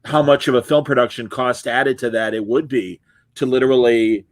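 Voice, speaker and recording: Male interview host, enthusiastic and rambling, average pitch, dry sound. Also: fairly even intonation, moderate pace, dry recording. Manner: enthusiastic, chatty, lots of restarts. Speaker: podcast host. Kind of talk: casual interview conversation.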